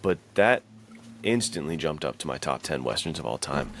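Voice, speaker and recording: A man's voice: very deep voice, lively intonation, normal speed, a bit bright, slight background noise. A man co-hosting a podcast in casual, enthusiastic chat, retelling things with slangy emphasis. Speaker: podcast co-host.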